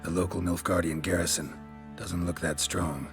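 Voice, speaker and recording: An extremely low male voice a stoic mercenary monster hunter in a fantasy game. He talks in terse, dry, deadpan lines, understated throughout, over clearly audible music. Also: moderate pace. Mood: deadpan, probing. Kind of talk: low-key video game acting.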